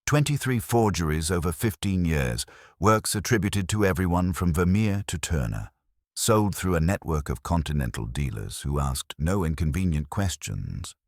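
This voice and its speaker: A man narrating a detective story in a measured, formal storytelling manner. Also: low voice, lively intonation, dry recording, clean studio sound. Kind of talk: scripted audiobook narration.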